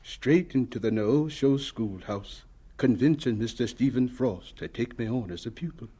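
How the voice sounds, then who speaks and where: Guy doing humble old southern professor, soft dark mellow voice, devout, looking back on his life, radio play. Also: mid-range voice, moderate pace, breathy edge, natural voice. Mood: reverent, fond. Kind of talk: radio drama acting.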